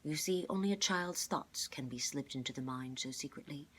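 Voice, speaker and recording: Lady reading as a wise older gentleman, calm n formal, low husky voice, storybook mentor. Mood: patient explaining. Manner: measured, articulate, formal. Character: calm, clever older man, kindly explaining mentor.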